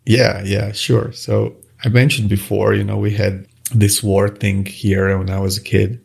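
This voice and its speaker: A man's voice: on the low side, little pitch movement, unhurried, creaky, darkish tone, husky. A middle-aged man from Croatia, a native Croatian speaker, answering interview questions in a hesitant, casual way with many restarts. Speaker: guest, watch face designer.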